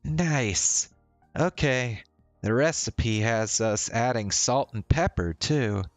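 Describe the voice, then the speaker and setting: A man's voice: medium pitch, expressive, slow, no room sound. A man voicing a chatty, playful young woman in a visual novel, with a teasing, breezy manner. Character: young woman, casual, chatty, playful story-game heroine. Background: faint music.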